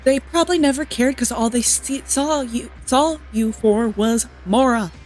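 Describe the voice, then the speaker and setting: Casual, higher-voiced woman reacting to a game. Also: measured pace, a little mellow, soft background music, low-quality recording. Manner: informal, reactive. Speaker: gaming streamer. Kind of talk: casual gameplay reaction commentary.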